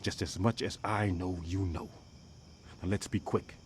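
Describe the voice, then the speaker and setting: A man voicing a hunted informant who speaks in hushed, hurried tones. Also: deep voice, fairly even intonation, a little hiss or hum. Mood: urgent. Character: hunted man handing over a secret, urgent.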